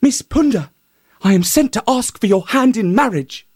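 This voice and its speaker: A sly, courtly hare with a high, wheedling voice, as a man puts him on in a told storybook tale. He sounds bright and lively, bouncing up and down in pitch as he flatters and coaxes. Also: normal speed, breathy edge, dry recording, clean studio sound, exaggerated voice.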